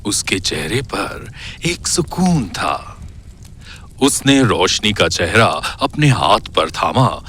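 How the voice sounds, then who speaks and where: A man narrating a scripted horror story in a dramatic, suspenseful and expressive storytelling style. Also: on the low side, slow pace, slightly rough. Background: background music.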